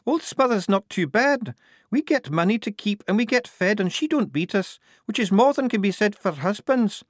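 Cheeky storybook working girl, played by a male reader, raised pitch, chatty, streetwise, very animated. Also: moderate pace, no echo, studio quality. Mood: matter-of-fact. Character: streetwise, matter-of-fact young working woman, cheeky and chatty.

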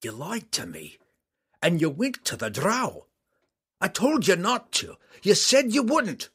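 A man voicing a young dwarf girl, earnest and defiant, speaking in a high, pleading voice. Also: wildly swooping pitch, unhurried, a bit bright, breathy edge, heavily acted. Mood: hurt. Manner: high-pitched, pleading and indignant.

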